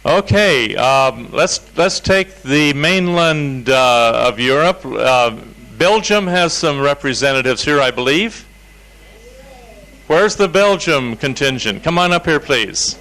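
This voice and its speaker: A man's voice: a little high, animated, takes it slowly, sharp tone, rough recording. Man hosting a seminar crowd. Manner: informal, inviting, with fillers. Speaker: seminar leader. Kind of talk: hosting audience introductions. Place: seminar room.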